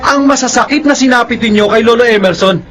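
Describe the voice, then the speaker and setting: A man's voice: very high voice, fairly quick, fairly bright tone, slight background noise. A man playing an earnest young son in a Filipino radio drama, respectful but reproachful.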